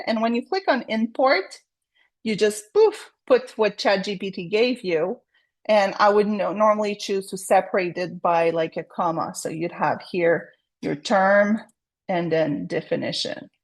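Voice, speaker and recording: A chatty real estate agent walks viewers through her study routine. Her voice sits at an ordinary middle pitch, and she talks in an encouraging, loose way, often restarting her sentences. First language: French. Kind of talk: casual tutorial vlog.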